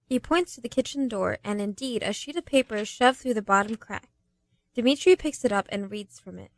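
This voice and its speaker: An actress playing the knowing storyteller who reads the scripted narration of a radio play. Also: female voice, a little high, brisk, no echo, natural voice. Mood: storytelling.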